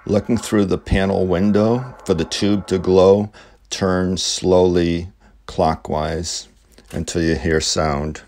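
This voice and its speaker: A man's voice: low-pitched, steady tone, slow pace, a little mellow. A man narrating an instructional demonstration in short, terse phrases that name one part after another. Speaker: video host, radio demonstrator.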